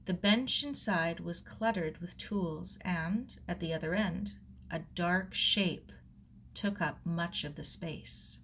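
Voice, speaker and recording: A woman reading a children's novel aloud in measured, expressive scripted narration. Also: lowish voice, slight background noise. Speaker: audiobook reader.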